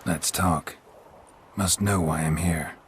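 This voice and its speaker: An actor playing a gruff, stoic, world-weary monster hunter in a video game, terse and deadpan with a gravelly growl. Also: male voice, low voice, slow pace, background noise. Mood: dry, terse.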